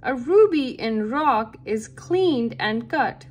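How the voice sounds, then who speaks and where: A woman reading a nonfiction picture book aloud for children, with careful, deliberate and expressive phrasing. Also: a little high. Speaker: read-aloud narrator for children. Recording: background music under the voice. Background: music.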